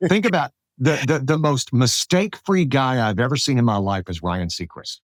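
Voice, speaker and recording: A middle-aged man from South Alabama hosting a casual, conversational interview in an animated, anecdotal way. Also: medium pitch, normal speed, no room sound, clean studio sound. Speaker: podcast host, radio broadcaster.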